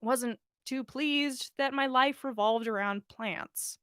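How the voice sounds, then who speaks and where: A woman's voice: sits high, slow, pushed and bright, clean tone, dry recording, clean studio sound, heavily acted. A woman reading as a shy, mild young man who loves plants, hesitant and stammering. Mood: wary.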